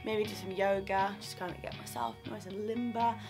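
A young woman in her twenties, a drama student vlogging casually with a slightly croaky voice, filmed at arm's length in a small student flat. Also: mid-range voice, normal speed, over music. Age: 20s. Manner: chatty and upbeat, laughs often. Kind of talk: casual vlog chat. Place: small student flat bedroom and kitchen, indoors. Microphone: camera mic at arm's length.

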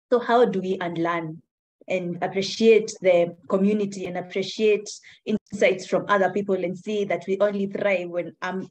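A woman's voice: on the low side, darkish tone, slight background noise, poor audio. A young adult woman hosting a webinar, putting a thoughtful question to the guest over a video call and searching for her words. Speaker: webinar host.

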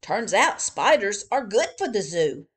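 A woman reading a storybook aloud, voicing a male zookeeper in charge, loud and emphatic. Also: mid-range voice, sing-song, unhurried, breathy edge. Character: puzzled, bossy male zookeeper.

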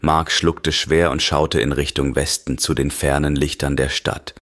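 A man narrating a scripted horror story in a grave, measured manner. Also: rumbling low, flat delivery, fairly bright tone, no echo, clean studio sound. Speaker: audiobook narrator.

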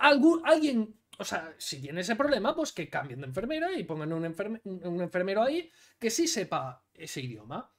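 A man in his thirties from Catalonia giving indignant, emphatic commentary on clips, seated in a small room. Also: high voice. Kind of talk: opinionated commentary video. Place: small room with blue LED lights, indoors.

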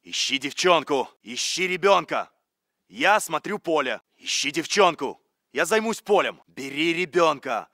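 An actor playing a curt, dismissive Russian soldier in a military video game, with a Russian accent. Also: male voice, fairly high pitch, very animated, unhurried, bright, forceful tone, dry recording, studio quality, heavily acted.

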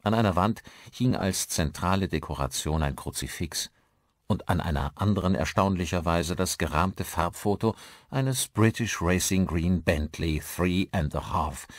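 Deep-voiced man narrating an audiobook. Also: no echo, studio quality. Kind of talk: scripted narration of a crime and horror novel.

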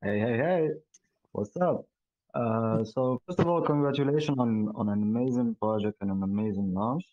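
A man's voice: medium pitch, normal speed, warm tone, no room sound, muffled recording. A man from Tunisia whose first language is Arabic, asking a rambling, friendly question in a casual voice chat. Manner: friendly and rambling, with many fillers. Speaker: audience member asking a question.

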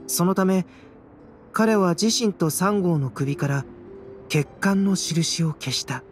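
A man playing a calm, cerebral young alchemist in a Japanese anime game, speaking in a measured, soft-spoken, enigmatic way. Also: a little high. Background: background music.

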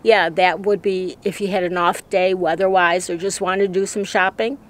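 A woman's voice: fairly low pitch, fairly even intonation, normal speed. An older woman who makes RV travel videos, talking casually to the camera outdoors. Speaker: RV travel vlogger. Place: outdoors at a campground.

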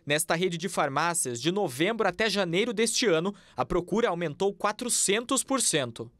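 A man's voice: sits high, animated, moderate pace, fairly bright tone, dry recording. A young man, narrating a scripted TV news report.

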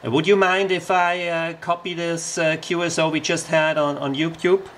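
A man's voice: fairly high pitch, level tone, moderate pace, noisy background. A man making a casual amateur radio contact, speaking politely and using radio procedure words.

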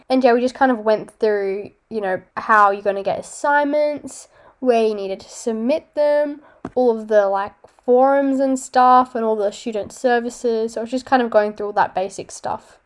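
A young woman chatting casually to a handheld camera in a small room at home. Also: a little high. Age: young adult. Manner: chatty, quick, trailing into quiet asides. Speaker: vlogger. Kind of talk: casual vlog chat to camera. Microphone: handheld camera close to face.

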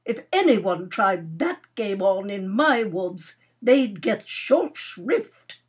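The self-satisfied mistress of a country house issuing brisk orders across the hall in a storybook, acted out by a woman reader, her voice swinging up and down. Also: medium pitch, sing-song, breathy edge, heavily acted. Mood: heavily satisfied. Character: imperious older lady of a country house.

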